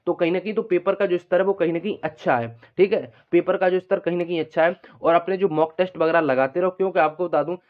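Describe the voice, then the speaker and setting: Fast-talking, higher-pitched man. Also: fairly even intonation, narrow, tinny audio. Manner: repetitive, explanatory, frequent check-in tags. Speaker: exam-news video host, coaching aspirants. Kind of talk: informal unscripted exam update monologue.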